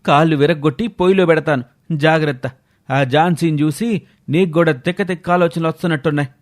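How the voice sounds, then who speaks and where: A gruff, scolding father from a village played by a man in a storybook drama. He is curt and dismissive, in a slightly high male voice. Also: quick pace, close to an everyday voice. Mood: angry threatening. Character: stern, scolding village father favouring his son. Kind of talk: dramatised moral story acting.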